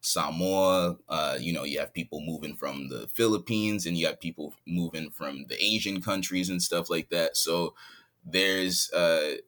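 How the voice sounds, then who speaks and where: A man's voice: low voice, measured pace, fairly bright tone. A man from San Diego, living in Hawaii, chatting casually as a guest on a podcast, laid-back and laughing easily. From San Diego, California. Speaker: rapper guest. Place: home in Hawaii, remote call.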